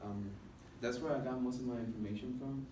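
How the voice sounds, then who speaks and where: A young man from the United States giving an informal student presentation in a classroom, speaking haltingly with many filled pauses. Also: mid-range voice, steady tone. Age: young adult. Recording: roomy, noisy classroom recording from a distance.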